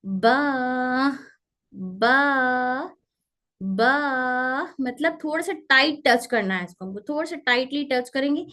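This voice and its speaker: A woman teaching Quranic letter pronunciation in an online class, repeating sounds slowly and mixing in English words. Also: high voice, lively intonation, sharp tone.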